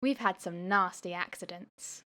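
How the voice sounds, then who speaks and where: A woman's voice: fairly low pitch, unhurried, bright, forceful tone. A woman playing an eager, forthright young working-class daughter with a taste for adventure in a radio play. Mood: matter-of-fact. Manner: keen, forthright.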